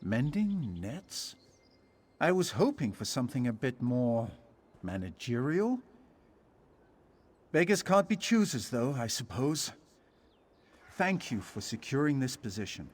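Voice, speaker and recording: A man's voice: medium pitch, big pitch swings, unhurried, long pauses, breathy edge. A man voicing a genteel, slightly pompous traveller in a video game, with formal, wordy, apologetic speech. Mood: reluctant, grateful. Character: genteel, fussy, slightly pompous male traveller seeking honest work. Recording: music behind the voice.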